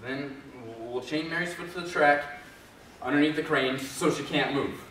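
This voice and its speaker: A man's voice: fairly high pitch, moderate pace, a bit bright, lightly acted. A man playing a bitter, commanding elephant trainer with grim duty, intense and emphatic in a stage drama. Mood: grim, resigned. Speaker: actor in a student readers theater group. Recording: very echoey hall, distant mic, faint noise.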